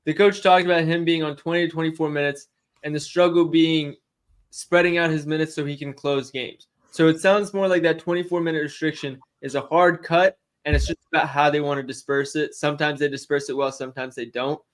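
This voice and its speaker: A man's voice: fairly high pitch, steady tone, brisk. Chatty young man on a betting stream. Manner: chatty, answers chat questions, laughs easily. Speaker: sports betting stream co-host. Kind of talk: casual livestream chat.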